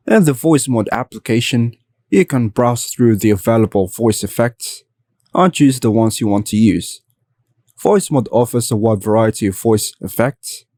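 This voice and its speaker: A man narrating a scripted step-by-step software tutorial in an even, instructional manner. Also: lowish voice, no echo, clean studio sound.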